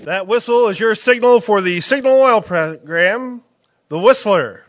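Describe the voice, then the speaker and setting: A man as the show announcer, delivering a polished 1940s radio commercial and introduction. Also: measured pace. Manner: bright, polished sales pitch. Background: music.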